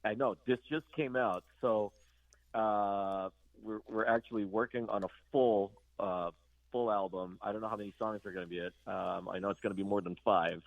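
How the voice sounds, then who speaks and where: A man guesting on a radio interview, talking about his band in a halting way, full of fillers and restarts. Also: lowish voice, steady tone, gravelly, dry recording, narrow, tinny audio. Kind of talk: casual interview chat.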